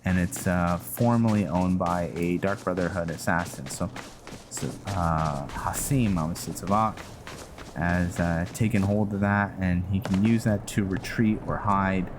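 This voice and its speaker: A man giving casual, rambling gameplay commentary, halting often as he thinks aloud. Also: low-pitched, fairly even intonation. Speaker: gaming video host. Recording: music behind the voice. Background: game music.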